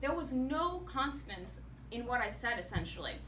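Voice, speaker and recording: An animated woman, an actor and singer, giving a prepared speech to a club audience in a meeting room. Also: fairly high pitch, moderate pace. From United States. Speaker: public speaker, actor and singer. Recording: echoey room, mic far off, faint noise.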